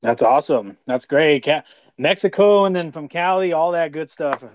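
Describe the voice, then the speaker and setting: A man's voice: a little high, normal speed, a little hiss or hum. An American man hosting a casual interview, talking effusively in a rambling way with many repeats. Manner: effusive praise, rambling, repeats "you know". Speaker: podcast host.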